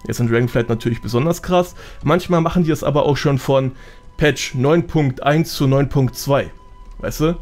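A man's voice: mid-range voice, moderate pace, darkish tone, faint music behind. A man chatting casually as a podcast guest, explaining things in slangy speech sprinkled with English gaming terms. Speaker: podcast guest, gold-making gamer.

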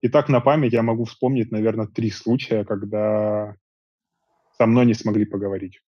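A man in his thirties, an interview guest, chatting casually in long, relaxed stretches from a small room at home over earbuds on a video call. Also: lowish voice, normal speed, breathy edge, dry recording. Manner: relaxed, talks in long rambling stretches with fillers. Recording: dull dry video call audio.

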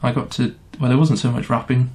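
A man's voice: medium pitch, level tone, a little fast, darkish tone. A man chatting casually to camera in a wry, self-deprecating way. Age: 20s. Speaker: amateur pantomime performer. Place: small room indoors. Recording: clearly noisy room sound.

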